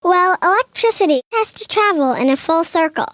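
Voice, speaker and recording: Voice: very high voice, expressive, fairly quick, fairly bright tone, a little hiss or hum. An actor voicing a matter-of-fact science expert in a cartoon, explaining things in a dry, instructive way. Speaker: expert witness. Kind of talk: animated comedy dialogue, explaining science.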